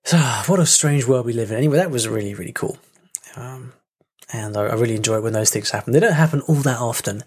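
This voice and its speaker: A man's voice: mid-range voice, lively intonation, quick pace, breathy edge. Chatty male podcast host. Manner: chatty, self-interrupting with fillers and laughs. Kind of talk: casual solo podcast talk.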